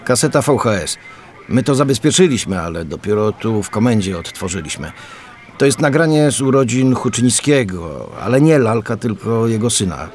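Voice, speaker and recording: A man's voice: fairly low pitch, big pitch swings, normal speed, darkish tone. An actor playing a polite, earnest policeman loyal to his men, speaking formally and hesitantly in a radio drama. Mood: matter-of-fact.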